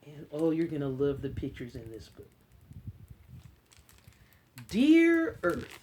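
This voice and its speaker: Lady doing story time for kids, unhurried expressive reading, chatty asides, bit of background noise. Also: low voice, poor audio. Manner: expressive, drawn-out reading with encouraging asides to young listeners. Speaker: story time host reading a picture book aloud to children. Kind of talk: children's read-aloud with chatty asides.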